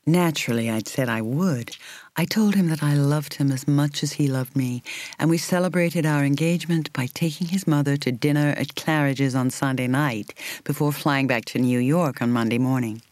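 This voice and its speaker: A woman reading an audiobook in the role of a reflective woman in her thirties recalling her romance, in a measured, intimate, expressive way. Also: low-pitched, no echo. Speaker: audiobook reader. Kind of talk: scripted first-person narration.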